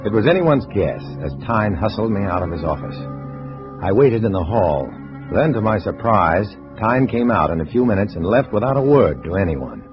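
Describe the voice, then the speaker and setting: An actor playing a guarded, weary undercover agent who narrates in a clipped, brooding style in a 1950s radio drama. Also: male voice, fairly low pitch, very animated, moderate pace. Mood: tense, brooding. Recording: dull vintage radio recording, loud music.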